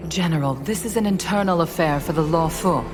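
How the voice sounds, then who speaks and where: An actress playing a stern, formal woman official in a game, with firm, measured delivery. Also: low voice, music in the background, natural voice. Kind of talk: scripted game voice acting.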